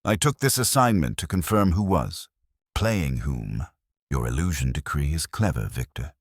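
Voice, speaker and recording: A man's voice: extremely low pitch, big pitch swings, moderate pace, breathy edge, no room sound, clean studio sound, exaggerated voice. A man voicing a stern, coolly angry woman investigator in a narrated story, terse and guarded. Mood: controlled anger.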